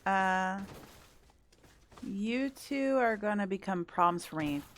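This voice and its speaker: A woman's voice: medium pitch, animated, slow pace, a little hiss or hum. A woman doing casual let's play commentary, thinking aloud in short musing bursts. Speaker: gaming video creator.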